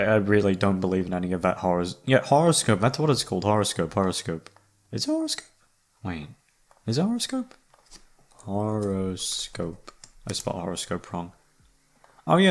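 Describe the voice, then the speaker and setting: A man's voice: fairly low pitch, very animated, normal speed, long pauses. A young man rambling casually to his listeners, with sweary, slangy, self-mocking talk. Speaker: YouTube voice actor and creator. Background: background music.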